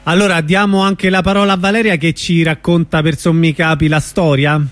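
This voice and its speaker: Higher-pitched male radio host. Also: little pitch movement, fairly quick, fairly bright tone. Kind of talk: informal radio interview.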